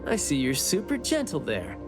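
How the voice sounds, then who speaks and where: An actor playing a chatty, teasing, curious young investigator in a game cutscene, with a breezy, wry manner. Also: male voice, mid-range voice, wildly swooping pitch, moderate pace. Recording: music behind the voice.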